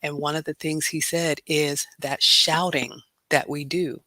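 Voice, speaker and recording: A middle-aged woman hosting a group talk, sharing personal reflections in measured, deliberate phrasing. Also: deep voice, fairly even intonation, bright, forceful tone, no room sound. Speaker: host.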